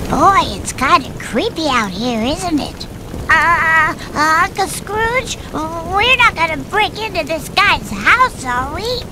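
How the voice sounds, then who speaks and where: Very high-pitched little duckling. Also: female voice, big pitch swings, takes it slowly, background noise, exaggerated voice. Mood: nervous. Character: curious, nervous young duckling children. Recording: game cutscene with music underneath.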